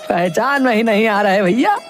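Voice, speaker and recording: A man's voice: sits high, lively intonation, moderate pace, faint music behind, pitched above the performer's own voice. A man voicing a friendly, chatty village uncle in a cartoon, exclaiming in a folksy drawl. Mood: surprised, friendly.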